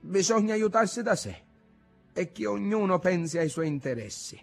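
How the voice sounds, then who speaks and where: A man's voice: high voice, animated, normal speed, vocal fry, faint music behind, voice pushed up, exaggerated voice. A man doing a sensible young woman. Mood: matter-of-fact. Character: sensible young woman, grown-up beyond her years.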